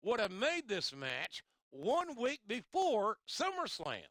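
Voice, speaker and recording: A man voicing an earnest, excitable veteran play-by-play announcer in a wrestling video game. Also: high-pitched, slow, very noisy, exaggerated voice. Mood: concerned. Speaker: play-by-play commentator.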